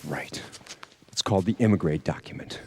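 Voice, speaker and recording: An actor playing a stern older priest on a secret mission, speaking in a grave, measured, guarded way in a video game. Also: male voice, sits low, expressive, gravelly, a little mellow, slightly rough, heavily acted.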